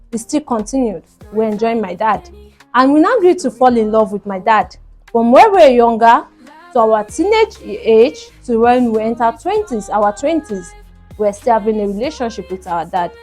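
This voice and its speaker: A woman hosting a story show, telling a first-person tale in an emphatic, dramatic way with repeated phrases. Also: fairly high pitch.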